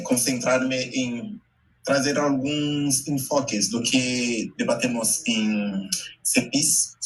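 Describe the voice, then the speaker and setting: A Brazilian man whose first language is Portuguese, speaking slowly and haltingly in Spanish mixed with Portuguese, giving a talk over a video call. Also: lowish voice, fairly bright tone, low-quality recording. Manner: slow, careful, halting Portuguese-Spanish mix with many pauses. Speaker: invited speaker, educator.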